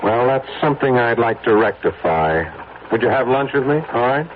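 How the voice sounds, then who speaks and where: A man's voice: mid-range voice, lively intonation, normal speed, close to an everyday voice. An actor playing an anxious, brooding businessman in a radio drama, speaking earnestly and hesitantly about his uneasy conscience. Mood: businesslike, insistent. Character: guilt-ridden, anxious businessman confessing a troubled conscience. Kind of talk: old-time radio drama acting. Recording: narrow, tinny radio recording, faint noise.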